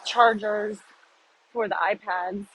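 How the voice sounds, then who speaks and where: A woman's voice: medium pitch, fairly even intonation, takes it slowly, a bit bright, rough recording. A middle-aged woman from the United States, rambling and thinking aloud as she films a casual walking vlog outdoors on city streets. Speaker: vlogger. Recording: faint street noise behind.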